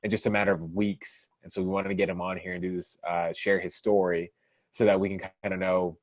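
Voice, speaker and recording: A man's voice: low-pitched, flat delivery, a little fast, no room sound. A man hosting an interview, upbeat and casual, stumbling and repeating words. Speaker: interview host.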